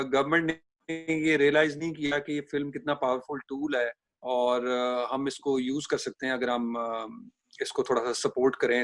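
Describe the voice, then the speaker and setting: A Pakistani man with a mid-pitched voice explains film history in a dry, close recording. He speaks deliberately and haltingly, pausing mid-thought as he works out each point. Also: dry recording. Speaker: discussion co-host.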